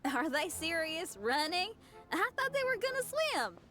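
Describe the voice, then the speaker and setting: A woman playing a lively, easygoing, dependable young woman in a video game, with casual, slangy phrasing. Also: extremely high pitch, a little slow, faint music behind, exaggerated voice. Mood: incredulous.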